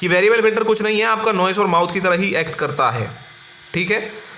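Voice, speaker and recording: A man's voice: high voice, fairly quick, pushed and bright. A man with an Indian accent giving an informal explanatory lecture as a tutor, often checking in with short tag questions. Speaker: engineering tutor. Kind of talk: informal explanatory lecture mixing Hindi and English. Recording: echoey room with faint background noise.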